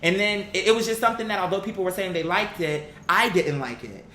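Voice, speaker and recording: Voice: quick pace, sharp tone. A vlogger giving casual, opinionated commentary in a blunt, slangy and emphatic way. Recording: roomy echo, faint rumbling background noise.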